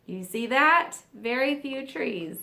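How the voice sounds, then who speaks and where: A woman teacher reading a nonfiction book aloud to children, stressing key words and pausing for simple questions and explanations. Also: sits high, expressive, vocal fry, sharp tone.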